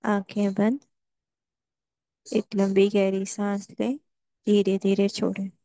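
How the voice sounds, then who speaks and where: A woman guides a meditation in short, measured phrases. Her voice is mellow and stays very flat in pitch. The sound is dry, with no room around it. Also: no echo.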